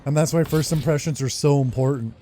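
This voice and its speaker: A man's voice: a little high, fairly quick, warm tone, slight background noise. A man hosting a game playthrough, commenting casually and reading game text aloud. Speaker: gaming video host. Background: faint game music.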